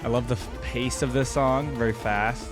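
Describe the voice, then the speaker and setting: A man's voice: mid-range voice, fairly even intonation, normal speed. A man hosting a game reaction video, giving casual live commentary and musing aloud in an easygoing way. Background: game music.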